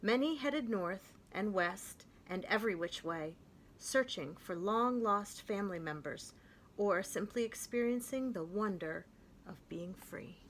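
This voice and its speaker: A woman reading a children's picture book aloud, with gentle, expressive and clear storytelling. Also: medium pitch, a little slow, a little hiss or hum.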